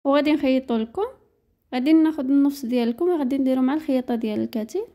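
A woman with a Moroccan Arabic accent narrating a step-by-step sewing tutorial, briskly reading out measurements in a matter-of-fact way. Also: high-pitched, warm tone. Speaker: sewing teacher.

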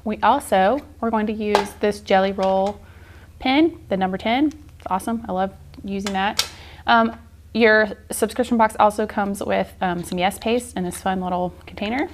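Middle-aged woman, casual craft instructor, mid-range pitch, informal and encouraging, some studio noise behind. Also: normal speed. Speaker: art journaling instructor. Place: indoor art studio, seated at work table.

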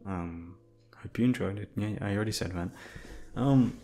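Casual, rambling man with a creaky voice. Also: on the low side, moderate pace, warm tone, soft background music. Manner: rambling, informal, swears. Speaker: voice actor addressing listeners. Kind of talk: casual chat.

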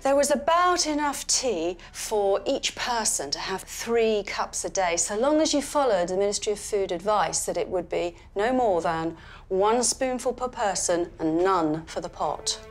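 A woman giving clear, scripted documentary narration recorded as a studio voice-over. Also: mid-range voice, expressive, unhurried. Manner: clear, storytelling delivery. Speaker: TV presenter.

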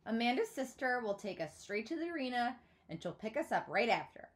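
A woman voicing an excitable, hot-tempered young girl in a storybook read-aloud, squealing and emphatic. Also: on the high side, fairly quick, voice pushed up. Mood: thrilled, excited.

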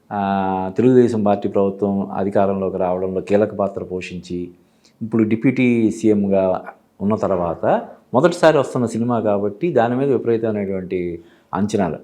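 A man giving explanatory commentary as an interview guest, halting and repeating phrases as he goes. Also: lowish voice, a little mellow. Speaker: film industry analyst, studio guest. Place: TV studio.

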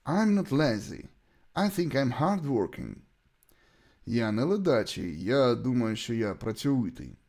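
A man gives a bilingual language lesson as a teacher, with a Ukrainian accent from his native language. His voice sits at an average male pitch but rises and falls very animatedly. He sets out short sentences deliberately, as examples to repeat. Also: sing-song, darkish tone. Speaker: English teacher.

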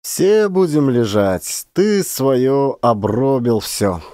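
Burly male village loafer, voiced by a man reading, blunt and offhand, pitch jumping around, audiobook drama. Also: expressive, slow pace, mellow, dry recording, studio quality. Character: gruff, brusque, burly male peasant loafer.

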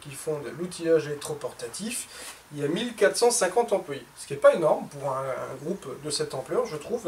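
A man in his thirties chatting casually to his viewers about new tools in his home workshop. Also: fairly high pitch. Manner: chatty, informal, addresses viewers as "les gars". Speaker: woodworking workshop host. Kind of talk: casual tool unboxing talk. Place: cluttered home workshop indoors. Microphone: camera mic from a distance. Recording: roomy echo, distant mic, faint noise.

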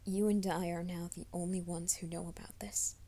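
A woman voicing a troubled young man who hides a werewolf nature, in a soft, hushed ASMR roleplay, often whispering. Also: lowish voice, little pitch movement, normal speed, natural voice. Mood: anxious whisper. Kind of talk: fan audio drama, ASMR roleplay.

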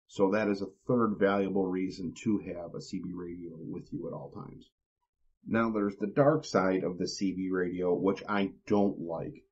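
A man hosting an instructional podcast, explaining things in a plain, deliberate, step-by-step way. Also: low voice. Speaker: podcast host. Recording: dull, lo-fi podcast recording.

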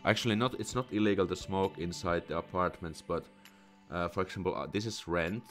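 Young finnish guy on a live stream, deep voice, lots of um and like, faint music behind. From Finland. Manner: halting, many restarts and fillers, thinks aloud. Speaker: host answering viewer questions. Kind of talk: casual live stream Q&A, reading chat comments. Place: small room indoors. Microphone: studio condenser mic with headphones.

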